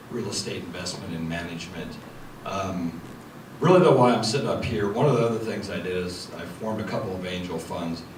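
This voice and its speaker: A man with a very low voice speaks earnestly on a panel, his talk full of "um"s. He sounds far from the mic in an echoey room. Steady hiss runs under him. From United States. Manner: earnest, with frequent fillers. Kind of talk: panel introduction. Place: meeting room.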